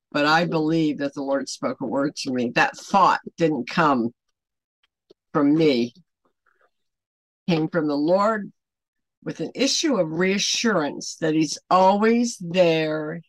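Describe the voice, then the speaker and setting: Older woman, measured devotional talk. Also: low-pitched, no room sound, poor audio. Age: older, around 70. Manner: rambling, emphatic, rhetorical questions. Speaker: online ministry host. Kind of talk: unscripted devotional talk to camera. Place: small furnished home room, indoors.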